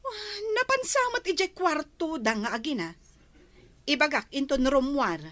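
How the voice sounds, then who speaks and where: Woman as flippant young wife, Ilocano accent, very high pitch, lazy attitude, drama acting. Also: very animated, normal speed, a bit bright, dry recording. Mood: whiny, defensive. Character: lazy, flippant young wife obsessed with social media reels.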